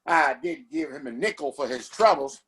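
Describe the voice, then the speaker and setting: A man's voice: on the high side, moderate pace, a bit bright, husky. A man playing an oily, pompous mayor in a Western audio play, with falsely courteous speech. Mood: falsely sympathetic. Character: smug, oily Old West town mayor.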